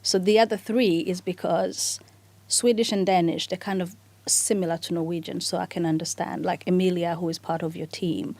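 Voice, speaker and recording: A woman from Rwanda, co-hosting a casual radio podcast and talking in a relaxed way with restarts. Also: on the low side, normal speed. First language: Kinyarwanda. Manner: relaxed, thinks aloud with restarts. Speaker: co-host.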